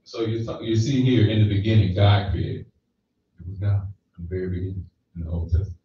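A man's voice: fairly low pitch, fairly even intonation, a little fast, slight background noise. Man preaching in an echoey hall. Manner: conversational preaching with frequent "right?" checks. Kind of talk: sermon. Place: small church hall with stage. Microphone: handheld mic. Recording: echoey, distant, slightly dull room recording.

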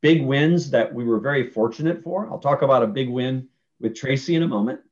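A man's voice: mid-range voice, brisk, darkish tone. A man in his forties on a video call at a virtual town hall, explaining in an earnest way with many fillers and restarts. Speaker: panelist speaking for nursing homes. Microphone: video call webcam. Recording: muffled video call audio.

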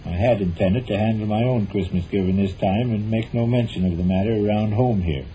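An actor playing a stubborn, long-suffering husband with dry, pompous formality in a 1940s radio comedy. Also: male voice, on the low side, a little fast, dark tone, close to an everyday voice. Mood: dignified. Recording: muffled, noisy old 1940s radio broadcast.

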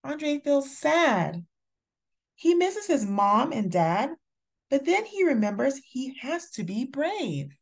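Slow, lively woman reading to children. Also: high voice. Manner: slow, deliberate, expressive delivery for young children. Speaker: children's story reader and channel host. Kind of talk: read-aloud picture book narration.

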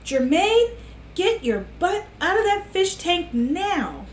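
A woman voicing a cross storybook father who loudly scolds his child. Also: very high-pitched, big pitch swings, slow, voice pushed up, exaggerated voice. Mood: exasperated yelling. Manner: loud, exasperated scolding. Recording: echoey room with faint hiss.

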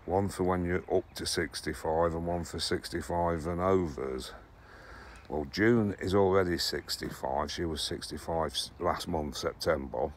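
A man's voice: low-pitched, measured pace, slight background noise. A man in his sixties from England chatting casually and rambling about his allotment harvest, often correcting himself. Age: 60s. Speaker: allotment gardening vlogger. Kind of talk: casual chat to camera. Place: allotment greenhouses and plots, partly outdoors.

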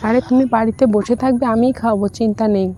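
Bold, fast-talking woman, outdoor noise. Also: a little high, fairly even intonation, dark tone. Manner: bold, teasing. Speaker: prank caller. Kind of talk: flirtatious prank phone call. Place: open field outdoors. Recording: noisy outdoor recording.

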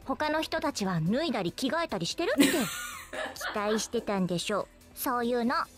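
High-pitched, teasing anime girl archer. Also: big pitch swings, normal speed, over loud music. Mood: coy, teasing. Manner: coy, teasing, theatrical. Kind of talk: Japanese anime game voice acting.

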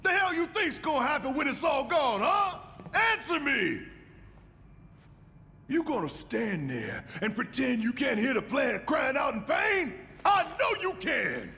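Gruff, hot-tempered resistance fighter, American. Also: male voice, very high voice, big pitch swings, measured pace, bright, forceful tone, raised voice, long reverb tail, exaggerated voice. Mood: angry, impassioned. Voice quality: gruff, gravelly. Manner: confrontational, emphatic, slangy. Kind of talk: dramatic video game voice acting.

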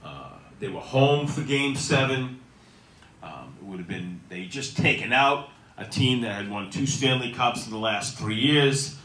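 A man's voice: mid-range voice, animated, unhurried, a bit bright, distant mic. A middle-aged man hosting a casual sports talk show, making his points with punchy emphasis into a handheld mic in a small restaurant. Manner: emphatic, punchy delivery with rising stresses. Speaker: host. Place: small restaurant dining room, seated at a table. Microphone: handheld microphone. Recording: echoey room, a bit off mic.

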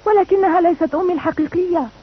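An actress playing a gentle, principled young princess in a cartoon dub, earnest and sweet. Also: female voice, very high-pitched, very fast. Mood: upset. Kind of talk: dubbed cartoon acting. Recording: tinny old cartoon dub, faint noise.

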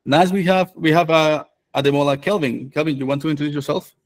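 A man, hosting a live online course on a video call, relaxed and full of fillers. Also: a little high, quick pace. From Venezuela. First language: Spanish. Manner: relaxed, many fillers and restarts. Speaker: developer advocate and course host.

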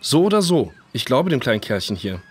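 An actor playing a calm but worried father in a children's audio drama. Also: medium pitch, brisk, husky. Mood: calm, concerned.

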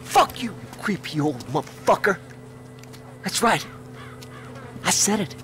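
Slow, wildly swinging, taunting punk. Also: male voice, high-pitched, very animated, noisy background. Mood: defiant. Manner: taunting, emphatic. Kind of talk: video game cutscene acting.

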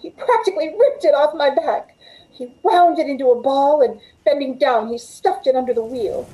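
A woman's voice: sits high, normal speed, warm tone, a little hiss or hum, natural voice, poor audio. A middle-aged woman playing a frightened, desperate captive who tells her ordeal in old-time radio suspense style. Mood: tense, hushed. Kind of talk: old-time radio suspense acting, first-person narration. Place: indoors at home, on a video call.

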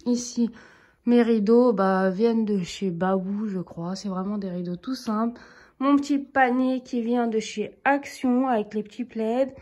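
A woman's voice: fairly high pitch, a little mellow. A woman in her thirties giving a casual, chatty tour of her living room, filming with a handheld phone and adding friendly asides to viewers. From Turkish heritage, living in France. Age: 30s. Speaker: home vlogger. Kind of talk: casual home tour narration. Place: apartment living room, indoors. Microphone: handheld phone camera, speaker off screen.

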